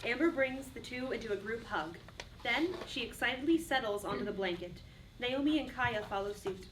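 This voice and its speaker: A woman's voice: on the high side, moderate pace. A woman reading stage directions as scripted narration for a play. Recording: distant mic, clearly noisy room.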